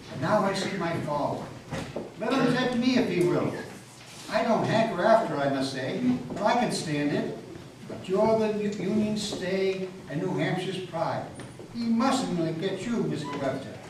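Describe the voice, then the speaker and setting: A man's voice: high-pitched, lively intonation, unhurried, background noise. A man playing a desperate, guilt-ridden New England farmer, anguished, shaky and pleading, in a dramatic reading of a folk tale. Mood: wretched, pleading. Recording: echoey hall, distant mic, music.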